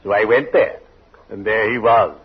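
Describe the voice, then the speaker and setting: An actor playing a pompous, morbidly jolly undertaker in a 1940s radio comedy, with drawn-out theatrical delivery. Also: male voice, fairly low pitch, steady tone, breathy edge. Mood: mock solemn. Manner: solemn, drawn-out, theatrical delivery with macabre puns. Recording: muffled, worn 1940s radio recording.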